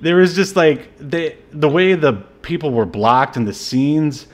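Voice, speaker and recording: A man's voice: fairly high pitch, expressive, measured pace. Wry male podcast co-host. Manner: wry, sarcastic. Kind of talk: casual movie review chat.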